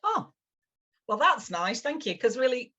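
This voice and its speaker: A woman's voice: a little high, big pitch swings, unhurried, bright, forceful tone, dull audio. A woman in her fifties with a Northern English accent, a guest chatting casually in an interview, with lots of fillers and restarts. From northwest England. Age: 50s.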